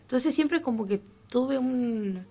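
A woman's voice: a little high, lively intonation, takes it slowly, warm tone. A young woman, a guest chatting about her musical family in loose run-on phrases. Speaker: guest dancer. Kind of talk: casual chat.

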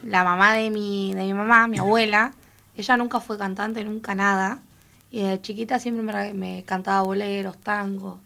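A woman's voice: medium pitch, fairly even intonation, normal speed, vocal fry, bright, forceful tone. A young woman, a guest chatting about her musical family in loose run-on phrases. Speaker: guest dancer. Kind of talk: casual chat.

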